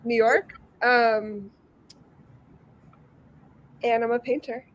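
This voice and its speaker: Slow, high-pitched woman panelist. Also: fairly even intonation, dull audio. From southern and eastern United States. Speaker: panelist, painter. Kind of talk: casual, halting self-introduction. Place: indoors on a video call.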